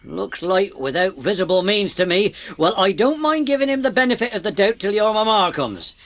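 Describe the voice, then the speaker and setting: A man's voice: sits high, animated, normal speed, fairly bright tone. A bossy, self-important railway station master in a period audio drama. His English voice swings up and down as he brusquely takes charge, though he is fair underneath. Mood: grudging.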